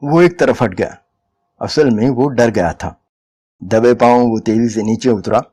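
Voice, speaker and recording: A man's voice: mid-range voice, measured pace, mellow. A man reading a short story aloud as a dramatic, scripted narration.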